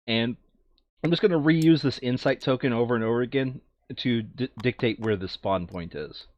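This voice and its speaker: A man's voice: medium pitch, moderate pace, a little mellow, dry recording. A man chatting casually and thinking aloud as he plays a board game, sometimes muttering to himself, on a slightly dull lo-fi microphone. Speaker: board game player recording a playthrough.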